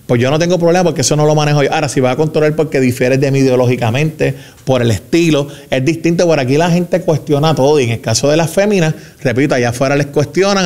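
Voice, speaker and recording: Measured Puerto Rican man, emphatic. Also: fairly high pitch, a little mellow. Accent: Puerto Rican Spanish. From Puerto Rico. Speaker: government spokesman guest. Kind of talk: radio interview.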